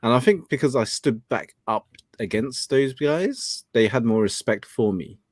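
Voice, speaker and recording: A man from England, whose was Cantonese. He hosts a casual talk show and speaks in a halting, reflective way, full of fillers. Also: mid-range voice, lively intonation, no echo, studio quality. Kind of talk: casual conversation.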